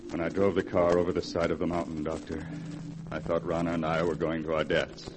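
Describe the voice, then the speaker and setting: A man acting a bitter, haunted husband in an old radio horror drama. His voice is on the low side, grave and dramatic. Faint noise runs through the vintage recording. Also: level tone, brisk, natural voice. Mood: haunted, brooding.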